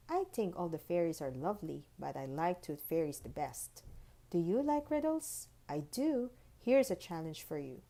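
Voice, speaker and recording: A woman's voice: lowish voice, dark tone. A woman reading the letters of a polite, clever little girl in an earnest, chatty storybook manner. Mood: friendly, admiring, then playful challenge.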